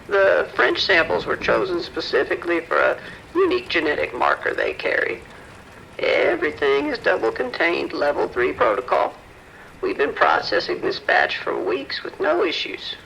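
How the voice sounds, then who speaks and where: Man acting animated gravelly research scientist. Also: high voice, normal speed, a little hiss or hum, full character voice, low-quality recording. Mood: formal, reassuring. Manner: formal, precise, reassuring. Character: polished, measured male research scientist, smoothly reassuring. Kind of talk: audio drama acting.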